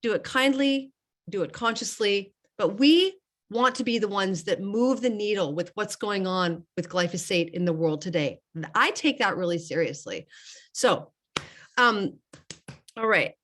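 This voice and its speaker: A woman's voice: mid-range voice, unhurried, fairly bright tone. A middle-aged woman getting over a slight cold, hosting a casual online group call in an emphatic, urging way, repeating key phrases for weight. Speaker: host and network marketing business coach.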